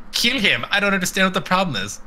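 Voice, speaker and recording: A man's voice: sits high, pushed and bright, rough recording. A man chatting casually and comedically about video games as a podcast co-host, rambling and crude, often acting out exaggerated reactions.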